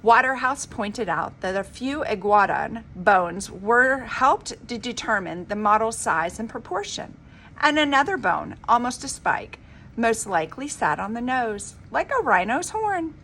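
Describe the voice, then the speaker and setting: A woman reading a children's story aloud in an expressive, dramatic, emphatic storybook manner. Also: a little high, a little slow, slight background noise. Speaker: reader of a children's book.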